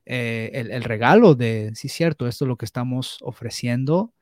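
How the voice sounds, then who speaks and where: A man's voice: medium pitch, moderate pace, darkish tone, no room sound, clean studio sound. A young man, talking candidly on a podcast, hesitant, with restarts and slips into English words. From United States. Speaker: podcast co-host. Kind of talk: casual podcast conversation.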